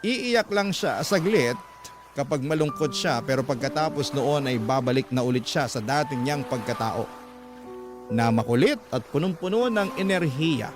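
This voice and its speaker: Male radio storyteller over clear background music. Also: mid-range voice, lively intonation, normal speed. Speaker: narrator reading a listener's letter. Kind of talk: first-person scripted radio storytelling. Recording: music bed under the voice.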